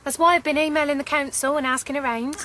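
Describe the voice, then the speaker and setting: A woman's voice: high-pitched, steady tone, fast talker, a bit bright, a little hiss or hum. An actress playing an aggrieved working-class young mother in a radio drama, earnest and pressing. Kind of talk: naturalistic radio drama acting.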